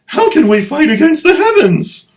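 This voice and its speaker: A man's voice: very high voice, big pitch swings, moderate pace, voice pushed up, heavily acted. A man doing a shrieking panicked soldier. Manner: shrieking, frantic. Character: panicked, freaked-out male soldier.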